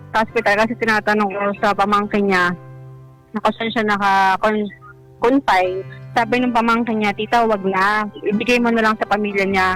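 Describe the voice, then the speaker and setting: A Filipino woman shares a personal story as a radio caller. She talks conversationally and hesitantly, mixing in some English, at a middle pitch. Music plays behind her. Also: a bit bright, poor audio. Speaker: caller telling own love story.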